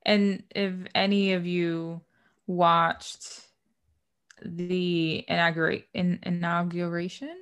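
A woman's voice: on the low side, little pitch movement, slow, fairly bright tone, clean tone. A woman whose first language is Spanish, co-hosting a casual podcast. She tells stories in a rambling way and sometimes trips over longer English words. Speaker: podcast co-host. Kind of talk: casual chat.

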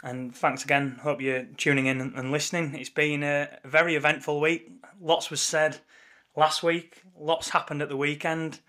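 Croaky man hosting a football podcast. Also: a little high, level tone, moderate pace, bright, forceful tone. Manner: chatty, wry, leads the talk. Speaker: podcast co-host. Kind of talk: casual football podcast chat. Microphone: remote call recording.

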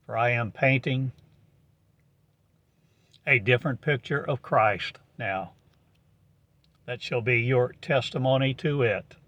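A man giving a prophetic monologue in slow, halting declamation with archaic phrasing, on a dull lo-fi recording. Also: mid-range voice, no room sound.

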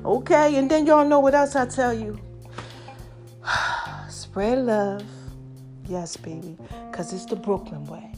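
A woman with a slightly raspy voice, chatting casually and preaching to her followers, calling herself Mama. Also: on the high side, takes it slowly, pauses often, faint music behind. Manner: animated, calls herself Mama, addresses viewers as y'all. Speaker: online commentator.

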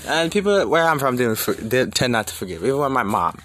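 A man's voice: mid-range voice, lively intonation, fairly quick, fairly bright tone, slight background noise. A young man from Jamaica talking casually and thinking aloud, conversational, with emphatic rhetorical questions. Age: young adult. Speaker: student sharing personal reflections.

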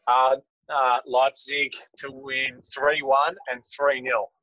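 A man's voice: mid-range voice, flat delivery, takes it slowly, pushed and bright, dry recording. Man, dry teasing co-host, grumbles a bit, dead dry sound, dull lo-fi. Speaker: podcast co-host. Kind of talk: casual podcast banter.

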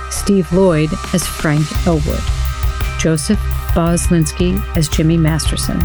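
Credits announcer over prominent music. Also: mid-range voice. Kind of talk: scripted credits read. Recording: theme music behind voice.

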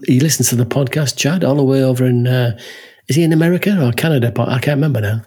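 A man's voice: mid-range voice, fast talker, a little mellow, breathy edge. A man co-hosting a maker podcast in casual chat, laughing often and tagging his remarks with "huh?". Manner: chatty, laughs often, tags lines with "huh?". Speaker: podcast co-host.

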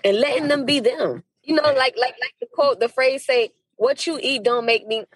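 An American woman from Miami speaking as a podcast guest in a casual interview, telling stories in an animated, emphatic, slangy way. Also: sits high, moderate pace, breathy edge, no room sound. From Miami, United States.

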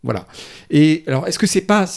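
A man's voice: a little high, lively intonation, normal speed, creaky, darkish tone. A man, a film critic in a podcast discussion, reasoning aloud in an analytical way with frequent restarts.

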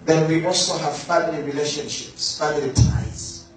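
A man preaching a sermon, slow and emphatic, repeating key words for stress. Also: a little high, steady tone, distant mic, slight background noise. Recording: very echoey room, voice from afar, faint music.

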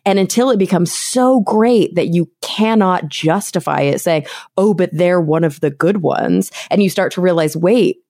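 A woman's voice: lowish voice, lively intonation, normal speed, few pauses, mellow, no room sound, studio quality. A woman from Texas hosting a podcast, talking through long, thoughtful points in casual conversation. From Texas, USA. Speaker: podcast host. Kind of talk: casual interview chat.

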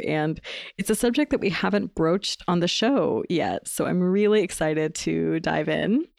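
Bubbly woman podcast host, low-pitched. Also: gravelly, darkish tone. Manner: bubbly, enthusiastic, laughs often. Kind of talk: casual interview chat.

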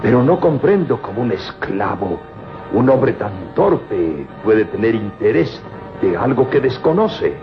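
Slow, halting older professor in radio drama. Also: male voice, on the low side, very animated. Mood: grave, worried. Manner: hesitant, halting delivery. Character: older male European professor, anxious father, shaken but gentle. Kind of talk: old-time radio drama acting. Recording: muffled old radio recording, music behind.

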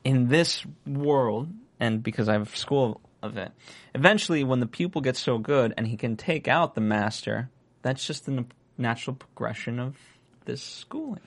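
A man hosting a casual TV recap chat, jokey and raspy, often trailing off mid-sentence. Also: mid-range voice, normal speed. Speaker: show host.

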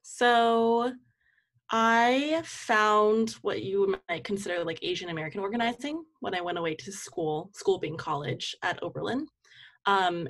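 A woman's voice: mid-range voice, a bit bright. A young woman speaking casually and reflectively as an interview guest, often dropping "like" into her sentences. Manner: informal, reflective, peppers speech with "like". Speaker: guest, community organizer and campaign manager.

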